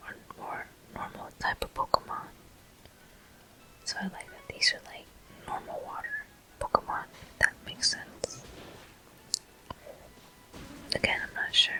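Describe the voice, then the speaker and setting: A person whispering gentle, unhurried ASMR commentary as a video game walkthrough host. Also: rough recording. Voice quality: soft, breathy whisper.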